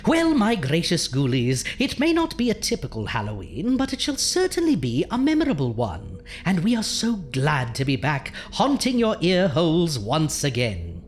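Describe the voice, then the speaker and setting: A woman's voice: low-pitched, huge pitch swings, moderate pace, hardly any pauses, darkish tone, a little hiss or hum. A woman playing a macabre, sardonic horror host doctor in an audio comedy, speaking in a dry, theatrical way. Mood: theatrical, gleeful. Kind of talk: horror anthology radio comedy.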